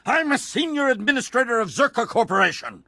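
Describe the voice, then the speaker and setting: A man's voice: extremely high pitch, sing-song, brisk, pushed and bright, no echo, clean studio sound, exaggerated voice. A man playing a haughty, indignant corporate administrator in a video game, pompous and imperious.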